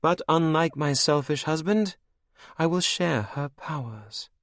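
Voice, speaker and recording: A man's voice: a little high, lively intonation, a little slow, fairly bright tone, no room sound, pitched above the performer's own voice. A man voicing a cruel, regal female vampire queen who speaks sweetly and mockingly. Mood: mocking command.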